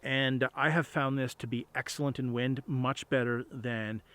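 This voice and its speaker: A man's voice: mid-range voice, steady tone, normal speed, fairly bright tone. A plain-spoken man explaining gear in a casual review to camera, recorded outdoors on an on-camera microphone. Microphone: on-camera shotgun microphone.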